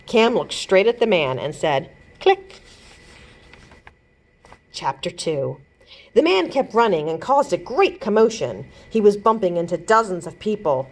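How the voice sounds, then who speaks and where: A woman reading a children's chapter book aloud in an expressive storytelling manner, like a librarian at storytime. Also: medium pitch, normal speed.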